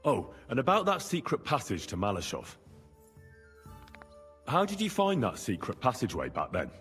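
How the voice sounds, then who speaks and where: An actor playing a plain-spoken, eager young medieval man-at-arms in a video game, husky, earnest and gallant. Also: medium pitch, wildly swooping pitch, moderate pace, fairly bright tone, big-room sound, soft background music. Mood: curious. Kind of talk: scripted game dialogue.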